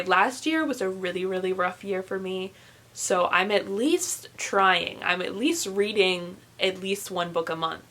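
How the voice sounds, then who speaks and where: Late-teen female book vlogger, mid-range voice, casual and rambling delivery with animated, self-mocking asides. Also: medium pitch. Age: young adult, late teens. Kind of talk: casual chat to camera.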